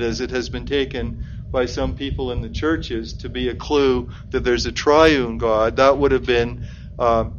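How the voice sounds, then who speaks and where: A man giving a measured, explanatory bible talk as a lay preacher, pointing to slides and sometimes repeating a word as he thinks. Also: medium pitch, lo-fi sound, slight background noise.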